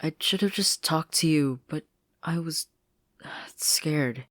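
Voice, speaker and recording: A woman's voice: deep voice, slow, a bit bright, no echo, clean studio sound, pitched above the performer's own voice. A woman voicing a cocky, protective and affectionate teenage girl in a story reading, with a teasing, confident manner. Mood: tender, reassuring.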